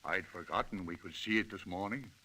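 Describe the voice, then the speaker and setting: An actor playing a kindly, reassuring country doctor in an old-time radio drama. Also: male voice, deep voice, brisk, bright, forceful tone, rough recording. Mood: excited.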